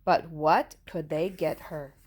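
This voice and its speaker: A woman teacher reading a picture book aloud to young children, deliberately and expressively, with explanatory asides and questions. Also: low-pitched, fairly even intonation. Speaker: elementary school teacher reading to her class.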